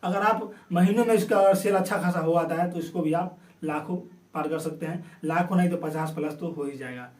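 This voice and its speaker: A high-voiced man explains things informally as a video host, speaking through a sore throat. He tends to repeat and rephrase his points. The recording is roomy and echoey, with him sitting back from the microphone. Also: a little fast, a little mellow. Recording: roomy echo, distant from microphone.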